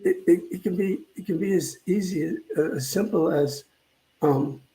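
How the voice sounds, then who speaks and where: An older man speaks in a soft-edged, mellow voice that sits a little high for a man. He gives an informal spiritual talk, pausing and repeating words as he reflects. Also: low-quality recording. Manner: halting, reflective, repeats phrases. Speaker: minister.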